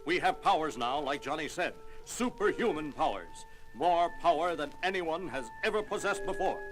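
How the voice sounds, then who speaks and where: An actor playing an earnest, commanding scientist who leads a superhero team, speaking formally in an audio drama. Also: male voice, fairly high pitch, animated, normal speed, soft background music, lightly acted, poor audio. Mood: resolute. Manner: formal, explanatory, declamatory.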